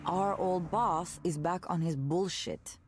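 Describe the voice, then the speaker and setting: An actress playing a candid, jaded former tech worker in a visual novel, conversational and sardonic with disgusted asides. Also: female voice, lowish voice, takes it slowly, over music. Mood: wry, conspiratorial. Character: candid, jaded woman ex-tech worker telling a wry story. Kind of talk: naturalistic visual novel voice acting.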